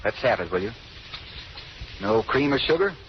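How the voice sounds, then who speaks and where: A man's voice: lowish voice, very animated, normal speed. A man playing a brusque, wry hospital patient in an old radio drama, speaking in clipped lines. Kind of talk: 1930s-40s radio drama acting. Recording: muffled, noisy old radio recording.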